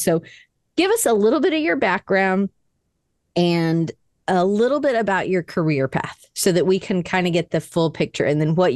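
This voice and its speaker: A woman's voice: on the low side, a little mellow, dry recording, studio quality. A woman, interviewing a guest in a casual, conversational way as a podcast host.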